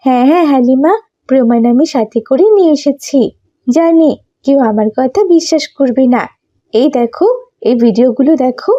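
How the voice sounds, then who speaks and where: Woman voicing high, fervent young cartoon woman, muffled. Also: moderate pace, warm tone. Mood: confident, excited. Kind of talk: cartoon dialogue acting.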